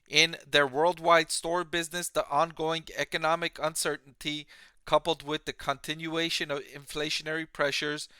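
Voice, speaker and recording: A man presenting explanatory financial commentary as an analyst, speaking in measured, emphatic, deliberate phrases. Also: on the high side, sharp tone, smooth, no echo. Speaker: financial analyst and video host. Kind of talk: explanatory commentary on an earnings call.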